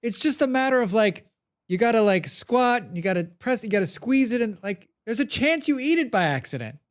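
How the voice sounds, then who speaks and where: A man's voice: extremely high pitch, big pitch swings, fairly quick. A man with a head cold chatting casually while live-streaming a game, humming to himself and answering his chat.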